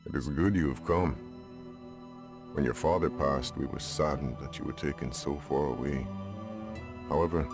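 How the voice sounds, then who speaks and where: An actor playing a dignified older Lakota uncle who leads his band, speaking gravely and deliberately in a video game cutscene. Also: extremely low pitch, music in the background. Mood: solemn.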